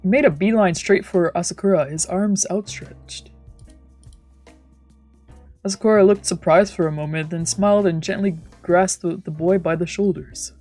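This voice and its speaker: A woman's voice: on the low side, normal speed, warm tone, faint music behind. A woman doing a playful let's play, reading visual novel text aloud and reacting casually. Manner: playful, giggly. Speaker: streamer.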